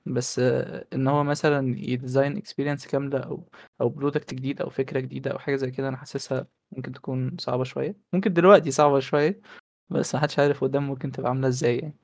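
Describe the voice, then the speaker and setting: A man with an Egyptian accent who offers tentative, measured opinions in a casual group discussion. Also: mid-range voice, flat delivery, vocal fry, darkish tone, no room sound.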